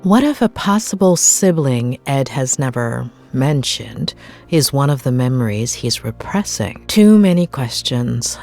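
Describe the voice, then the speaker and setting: A low-voiced woman therapist in a narrative video game. She is composed but weary, weighing things carefully and keeping her guard up. Music plays behind her. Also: animated, a little slow, darkish tone, full character voice. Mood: pensive. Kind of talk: naturalistic video game cutscene acting.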